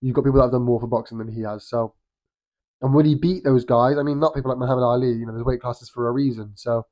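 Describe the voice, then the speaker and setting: A man's voice: medium pitch, fairly even intonation, fast, darkish tone. British man with a nasal cold. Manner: rambling, frequent restarts and ums. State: has a cold, slightly nasal. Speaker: boxing vlogger. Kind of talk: casual opinion monologue.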